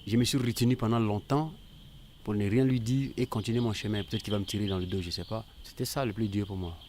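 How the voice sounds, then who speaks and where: A man's voice: on the low side, steady tone, fast talker, a little mellow. A middle-aged man giving candid, reflective personal testimony in a conversational way, with birdsong and noise around him. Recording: clear outdoor noise with birdsong. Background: birdsong and ambience.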